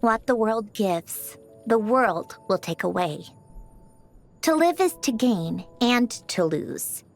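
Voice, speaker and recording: A poised, gently haughty young lady from a video game. Her voice sits fairly high and moves in expressive, lilting arcs. She speaks formally and with measured eloquence, thoughtful and precise, with music playing behind her. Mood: wistful, earnest. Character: composed, refined young woman, precise, reflective, gently haughty. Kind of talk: scripted character voice lines.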